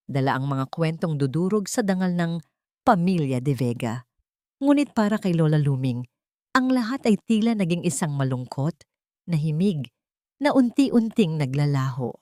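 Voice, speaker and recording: Female audio-story narrator, dramatic scripted read, slightly low voice, very dry sound. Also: darkish tone, no room sound.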